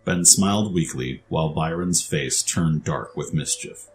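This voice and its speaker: Man, story narrator, deep low voice, scripted delivery, soft music behind. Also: measured pace.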